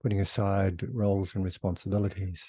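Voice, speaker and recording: Guy doing guided meditation, really deep mellow voice, short phrases w long gaps between. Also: little pitch movement, no room sound. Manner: unhurried, phrase by phrase with long pauses. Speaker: meditation teacher.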